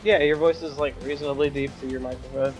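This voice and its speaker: A young man hosting a casual gaming stream, joking and self-mocking, with a reasonably high-pitched voice, talking into a home desktop mic. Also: steady tone, fast. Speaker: stream host. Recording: background music.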